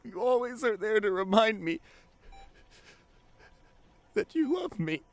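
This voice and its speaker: A man playing a grieving, devoted boyfriend in an emotional ASMR audio roleplay, pleading haltingly through tears with his voice breaking. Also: high-pitched, sing-song, full character voice. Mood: tender, sorrowful. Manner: halting, repeats phrases, voice breaking into sobs. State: crying. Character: grieving devoted boyfriend pleading at comatose partner's hospital bedside.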